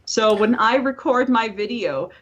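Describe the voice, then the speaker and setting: High-voiced podcast lady, animated n sweary, kinda muffled lo-fi audio. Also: measured pace. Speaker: podcast co-host. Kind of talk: casual podcast chat. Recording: dull lo-fi voice-call audio.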